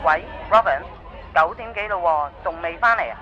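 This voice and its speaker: A woman's voice: fairly low pitch, animated, moderate pace, lightly acted. Nagging middle-aged mother, played by an actress, dull sound. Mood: exasperated scolding. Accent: Hong Kong. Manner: stern, lecturing. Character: nagging, scornful middle-aged mother scolding her daughter. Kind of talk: radio drama acting. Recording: dull lo-fi voice, loud music behind.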